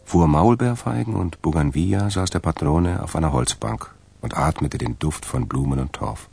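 A man narrating a radio drama from a script, in a deep, gravelly voice. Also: moderate pace, a little mellow, a little hiss or hum.

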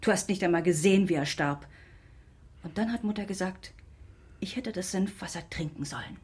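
An actress playing an anguished, reproachful sister in a radio drama, with a husky, breathy voice and a strained, grave manner. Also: female voice, on the low side, normal speed, a little mellow. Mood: angry, accusing.

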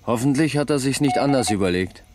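A male actor plays a sceptical junior police detective in a radio crime play. His delivery is lively and pointed, rising and falling a lot, with audible background ambience. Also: mid-range voice, fast talker, background noise, natural voice. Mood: eager, sceptical. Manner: probing, pointed. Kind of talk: German radio crime drama acting.

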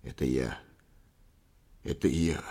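Male reader as frightened old grandfather, low-pitched, husky, slow halting muttering, guilt-ridden, storybook drama. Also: lively intonation, heavily acted. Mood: guilt-stricken. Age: older. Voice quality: husky, hoarse, whispering at times.

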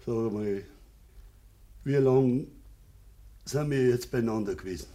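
An actor playing a proud, bitter old farmer in a radio play, speaking terse Bavarian dialect. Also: male voice, medium pitch, unhurried, warm tone, close to an everyday voice. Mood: tender, subdued. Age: older. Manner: gruff, terse rural dialect speech. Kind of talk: naturalistic radio drama acting.

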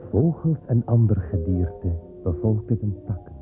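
A man narrates in a solemn, measured way, slow and low-pitched. The recording is narrow and tinny. Music plays clearly behind him. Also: expressive. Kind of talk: solemn scripted radio narration.

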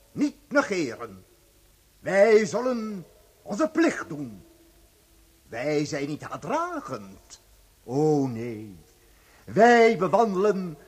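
A man's voice: high voice, slightly rough, exaggerated voice. An actor playing a solemn, fanatical president, declaiming to his people in a radio play. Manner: declamatory oratory with dramatic pauses. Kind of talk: Dutch radio play acting.

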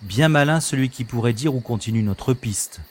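Audio drama role: grumbling, doubtful man on a search party, played by an actor, medium pitch. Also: normal speed, natural voice. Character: sceptical, grumbling male member of a search party. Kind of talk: French audio drama acting.

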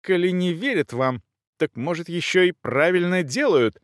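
A fretful, easily offended noble wife in an audiobook, put on by a man, lifted high above his natural voice. The manner is plaintive and agitated, in a dry recording. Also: lively intonation, moderate pace, no echo.

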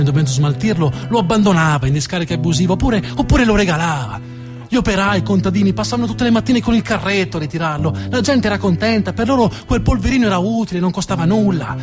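A man giving a scripted dramatic narration as a theatre storyteller, measured and deliberate, with pauses and emphatic repetition. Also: fairly high pitch. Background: plucked guitar music.

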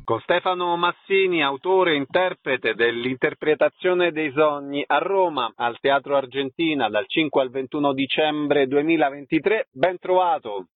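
Higher-voiced male interviewer, phone-like sound. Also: moderate pace, hardly any pauses, no echo, faint music behind. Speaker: radio interviewer. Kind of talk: concise interview questions and announcements. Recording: dry, narrow phone-like sound.

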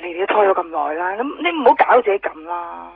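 An actress playing an evasive, distracted friend in a radio drama, hesitant, with a Hong Kong Cantonese accent. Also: female voice, on the low side, very animated, fast talker, creaky, slightly rough, no room sound, heavily acted. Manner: hesitant, stalling. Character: evasive, distracted woman friend juggling phone calls. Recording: muffled lo-fi radio broadcast with music.

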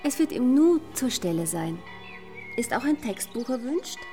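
A woman's voice: a little high, very animated, moderate pace, dark tone, soft background music. A woman playing a helpful, genteel lady in a German radio play. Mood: obliging.

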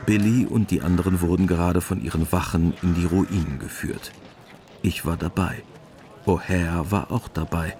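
A middle-aged actor playing a weary, wry war veteran, narrating a literary radio drama in a laconic, resigned way. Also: male voice, deep voice, steady tone, normal speed, darkish tone, slight background noise, lightly acted.

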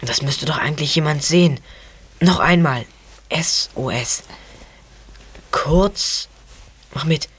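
Resourceful, eager boy sleuth played by a woman, slightly low and husky, audio drama. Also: takes it slowly, pauses often, heavily acted. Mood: concentrated. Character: resourceful, eager young boy detective, held captive.

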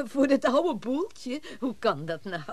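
Animated, down-to-earth thrifty woman. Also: a little high, slow pace, full character voice. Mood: frank, homely. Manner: chatty, down-to-earth, dismissive. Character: thrifty, wary middle-aged woman selling her late uncle's old instrument. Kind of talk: naturalistic radio drama acting.

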